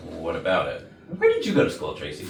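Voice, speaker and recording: A man's voice: low-pitched, wildly swooping pitch, fast talker, gravelly, exaggerated voice. A man playing a pompous, boastful, scheming office striver in a staged comedy reading. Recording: echoey room far off, faint noise.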